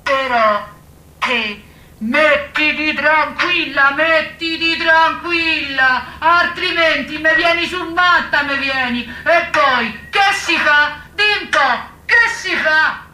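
A woman's voice: sits high, slow, bright, forceful tone, heavily acted. Woman as a piercing, cocky streetwise fixer. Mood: wheedling, pushy. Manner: pushy, repetitive, colloquial patter. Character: cocky streetwise fixer boasting of connections and bribes. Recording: echoey room.

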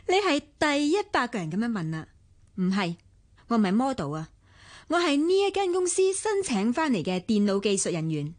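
A woman's voice: a little high, sing-song, unhurried. A woman acting a cheeky, mocking teenage girl in a radio drama, with a pert, teasing manner and a Hong Kong accent. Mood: brash, nosy.

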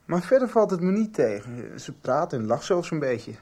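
A man's voice: a little high, sing-song, normal speed, darkish tone. An actor playing an earnest, protective, slightly awkward teenage boy in a 1990s radio soap. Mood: defensive.

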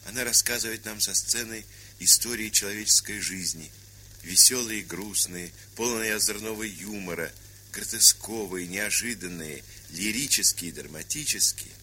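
A man's voice: lowish voice, normal speed, sharp tone. A man presenting in a formal, scripted and declamatory literary narration. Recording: hissy, crackly vintage 1970s record.

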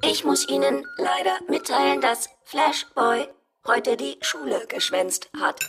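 A woman's voice: high-pitched, big pitch swings, a little slow, music in the background, exaggerated voice. A woman playing a formal, faulty household robot that repeats itself in a children's radio play. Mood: formal reporting.

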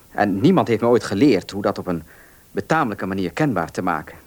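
An actor playing an earnest, socially awkward country gentleman in a radio play, speaking formally, courteously and a little haltingly. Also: on the low side. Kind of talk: Dutch radio play acting.

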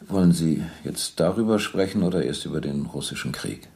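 An actor in a radio play voicing a police captain who questions a prisoner in a sober, terse, precise way. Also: male voice, a bass voice, a little fast, creaky, a little mellow, a little hiss or hum. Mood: sober, probing. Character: male police captain interrogator, terse, matter-of-fact.

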